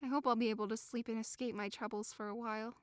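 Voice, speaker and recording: A woman's voice: a little high, steady tone, fast, dry recording, studio quality, close to an everyday voice. A woman playing a forlorn, rejected young lover in an audio drama, plaintive and theatrical. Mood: weary, miserable. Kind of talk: audio drama acting of modernized Shakespeare.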